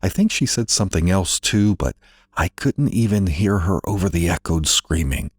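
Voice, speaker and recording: A man narrating a scripted horror story in a deliberate, dramatic delivery with weighted pauses. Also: deep voice, husky, no echo, clean studio sound.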